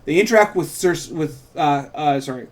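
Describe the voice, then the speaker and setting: A man's voice: fairly high pitch, lively intonation, normal speed. A man co-hosting an informal table read, reading stage directions and breaking off into rambling, self-interrupting asides. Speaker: podcast host and co-writer reading stage directions of his own script.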